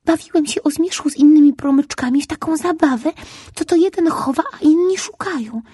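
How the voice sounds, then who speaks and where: A woman's voice: a little fast, dark tone, dry recording, studio quality, lightly acted. Woman doing a tiny, tearful sunbeam boy. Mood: pleading. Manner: high, pleading, tearful. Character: tearful little sunbeam boy lost from his mother.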